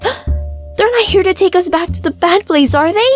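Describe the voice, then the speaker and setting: A woman's voice: extremely high pitch, lively intonation, moderate pace. A woman voicing a wary, indignant, feisty female pet cat in a visual novel, with a skittish manner. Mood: nervous. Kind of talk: visual novel character acting. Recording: music clearly behind the voice.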